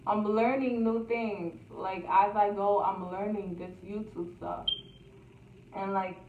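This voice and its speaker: A woman's voice: mid-range voice, steady tone. A young woman telling a casual storytime vlog in a chatty, repetitive way, laughing at herself now and then. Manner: slow, chatty, repeats phrases, laughs at herself. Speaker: YouTuber. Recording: echoey, distant, phone-like, faint hiss.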